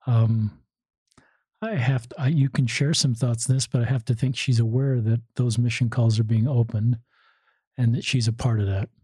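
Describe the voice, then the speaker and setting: A man's voice: medium pitch, steady tone, normal speed, dark tone, slightly rough, dry recording. A man hosting a podcast, asking questions in a conversational interview style.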